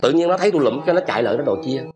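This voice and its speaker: A man's voice: mid-range voice, expressive, rapid-fire, close to an everyday voice. A man with a Southern Vietnamese accent playing a stingy, gullible old husband in a comedy sketch, exclaiming, stammering and repeating himself. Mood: sly, boastful. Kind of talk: broad comedy sketch acting.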